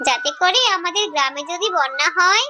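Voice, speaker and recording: Very high-pitched anxious fox mother played by a woman. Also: lively intonation, fairly quick, background noise. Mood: earnest. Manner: pleading, fretful. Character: anxious, kind-hearted young fox mother and wife. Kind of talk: Bengali children's cartoon voice acting.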